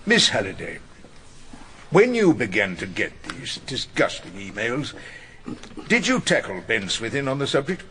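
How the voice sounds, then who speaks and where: A man's voice: mid-range voice, huge pitch swings, unhurried, sharp tone, full character voice. An actor playing an irascible, witty, ageing male barrister in a radio drama, courtly and ironic as he argues and narrates. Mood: politely probing. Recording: noticeable background noise and ambience.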